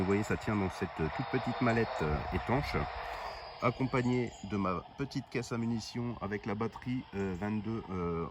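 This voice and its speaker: A man presenting gear in a casual, hands-on way as a vlogger, halting as he thinks aloud, recorded outdoors. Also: mid-range voice. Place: outdoors on high ground. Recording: noisy outdoor camera recording.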